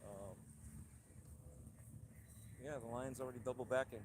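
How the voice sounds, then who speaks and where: A man with a cold-hoarse voice, hosting a casual outdoor live stream and reading out viewer comments. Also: mid-range voice, steady tone, a little slow, background noise. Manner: rambling, chatty, reads out viewer comments. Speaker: channel host. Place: outdoors at a haunted trail attraction. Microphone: handheld camera rig.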